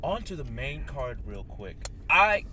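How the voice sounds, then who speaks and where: A man's voice: medium pitch, big pitch swings, unhurried. A man co-hosting a casual wrestling reaction chat outdoors, rambling with many fillers. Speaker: co-host. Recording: distant mic outdoors with loud noise.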